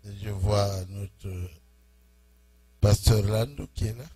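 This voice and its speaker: A man pastor greeting a church congregation in French, speaking in short phrases and pausing for his interpreter. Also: fairly low pitch, steady tone. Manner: slow, pausing phrase by phrase for the interpreter, chuckling warmly. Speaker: preaching pastor. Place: church hall.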